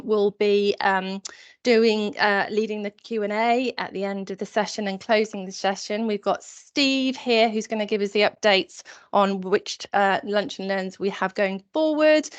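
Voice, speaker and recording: Hesitant woman hosting a webinar. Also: medium pitch. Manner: hesitant, frequent "um" fillers. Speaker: webinar host, policy lead. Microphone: video call. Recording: slightly dull call audio.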